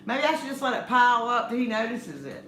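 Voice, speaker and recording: A middle-aged woman talks loudly and chattily, often teasing and laughing. Her voice is bright and a little high. It rings in an echoey room over a faint hum. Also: very animated, fast talker. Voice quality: loud voice. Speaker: channel co-host. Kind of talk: casual chat.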